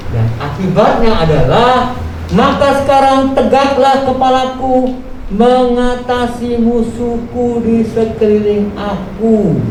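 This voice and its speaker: A woman preaches a sermon, exhorting with rhetorical questions and repeated phrases. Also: mid-range voice. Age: 70s. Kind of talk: sermon to a congregation. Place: large echoey room at a live church service. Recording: very echoey, distant, noisy live church recording.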